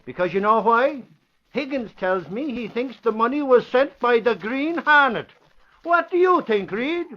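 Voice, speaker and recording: Nosy, very high-pitched newspaperman. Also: lively intonation, unhurried, slight background noise. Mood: teasing, suspicious. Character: nosy, teasing, blunt newspaper office man. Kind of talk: 1940s-50s radio drama acting. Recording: dull lo-fi vintage radio recording.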